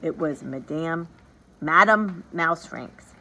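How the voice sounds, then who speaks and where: A woman reading a children's story aloud in an animated, expressive, emphatic storytelling manner. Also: low-pitched, slow, poor audio. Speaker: storybook reader. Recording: background noise behind the voice.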